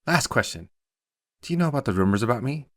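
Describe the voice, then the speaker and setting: A man's voice: mid-range voice, expressive, quick pace, fairly bright tone, no echo, studio quality, voice pushed up, close to an everyday voice. A man voicing a reclusive, wary middle-aged woman with a silky, guarded, terse way of speaking in a short story. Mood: wary, curt.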